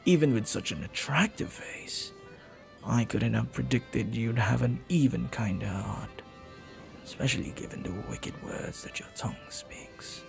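A man playing a flirty, silver-tongued pirate in a romantic audio roleplay, tender and teasing, sometimes dropping to a breathy murmur. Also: fairly low pitch, heavily acted. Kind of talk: romantic audio roleplay acting, intimate second-person monologue.

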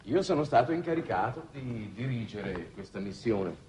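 An actor playing a shrewd, weary middle-aged statesman in a 1960s Italian television drama, speaking in formal, measured phrases. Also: medium pitch, lively intonation, lightly acted. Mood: grave, solemn. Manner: measured, formal, deliberate oratory. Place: television studio set. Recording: noisy old 1960s television soundtrack.

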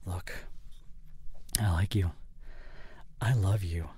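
Breathy, pleading boyfriend, acted by a man. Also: low voice, steady tone, warm tone, no room sound, full character voice. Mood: pleading, upset. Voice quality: breathy, often near a whisper. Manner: halting, stammering, earnest pleading. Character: apologetic, pleading boyfriend, adult man, guilt-ridden. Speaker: audio roleplay voice actor. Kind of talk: intimate boyfriend audio roleplay, acted monologue. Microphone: close studio condenser microphone.

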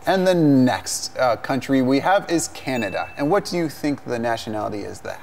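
A man's voice: medium pitch, lively intonation, normal speed, gravelly, a little mellow, a little hiss or hum. Upbeat young man teaching English. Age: young adult. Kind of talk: televised language lesson. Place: TV studio set.

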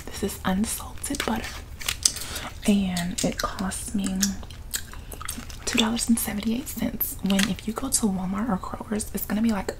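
A woman's voice: medium pitch, fairly even intonation, a little slow, background noise. A young woman whispering a chatty, laughing ASMR ramble in her living room, talking to the camera in front of her. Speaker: ASMR vlogger. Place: living room with couch, indoors.

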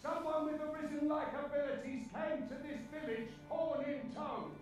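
A man's voice: very high-pitched, steady tone, normal speed. A man playing an earnest border watch officer in a fantasy game, speaking in formal archaic questions. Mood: stern, inquiring. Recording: music behind the voice.

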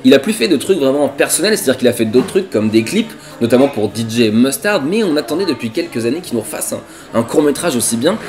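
A man's voice: medium pitch, sing-song, fast, over music. A man in his twenties with a French accent, co-hosting a web show in a casual, slangy, joking way. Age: 20s. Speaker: co-host of a web culture show. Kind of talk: casual chatty presenting to camera. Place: diner booth with red seats.

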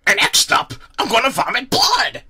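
A man's voice: sits high, sing-song, normal speed, bright, forceful tone, breathy edge, voice pushed up, full character voice. A man voicing a crazed, foul-mouthed male puppet stunt performer announcing his acts. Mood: crazed, showy.

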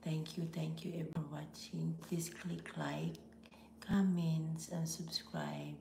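A middle-aged woman with a Filipino accent, hoarse from laryngitis, hosting a casual live stream from home with slow, half-whispered greetings. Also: deep voice, flat delivery, darkish tone, roomy sound, a little hiss or hum. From Philippines. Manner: breathy, half-whispered, slow with frequent pauses and throat clearing. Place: small home office room indoors.

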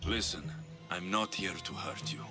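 A man's voice: low-pitched, unhurried. An actor playing a blunt, wry male mercenary warrior in a video game, direct and a little impatient. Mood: determined. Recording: music behind the voice.

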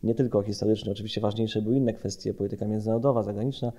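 A man giving expert commentary in an analytical podcast discussion, phrasing his points deliberately and with some hesitation. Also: fairly low pitch, steady tone, warm tone.

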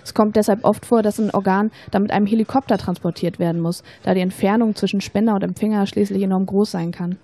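A woman plays a young female student in an educational radio play. Her voice is mellow and mid-pitched. She explains patiently and persuasively, in measured, scripted sentences. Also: steady tone, natural voice. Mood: matter-of-fact, explanatory. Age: young adult.

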